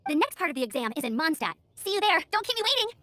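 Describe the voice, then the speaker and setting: Perky teenage girl scout, very high voice, quick pace, eager and earnest, video game character. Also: sing-song, bright, forceful tone, dry recording, exaggerated voice. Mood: upbeat, excited.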